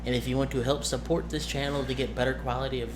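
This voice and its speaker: A man talking casually to his viewers as a streamer and game master, halting and rambling as he corrects himself. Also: medium pitch, little pitch movement, noisy background.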